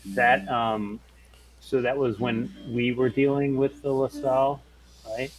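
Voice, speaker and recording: A man's voice: medium pitch, level tone, slow, low-quality recording. A man in casual chat as a player in a tabletop role-playing game.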